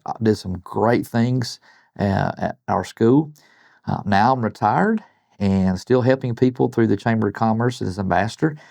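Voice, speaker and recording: An older man reading a bedtime story to children, expressive, with chatty asides and questions to his listeners. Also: fairly low pitch, vocal fry, no room sound. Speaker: guest storybook reader, retired principal.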